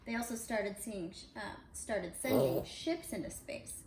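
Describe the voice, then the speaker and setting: A woman's voice: on the high side, measured pace. A woman reading a picture book aloud to children in a casual, conversational way, adding little explanations as she goes. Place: indoors at home. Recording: roomy, echoey home recording with faint noise.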